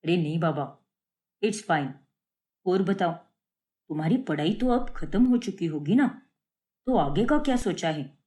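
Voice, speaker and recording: A woman's voice: on the low side, animated, a little slow, long pauses, dark tone. A woman voicing a kind, easygoing young male shopkeeper in a cartoon story, casual and reassuring.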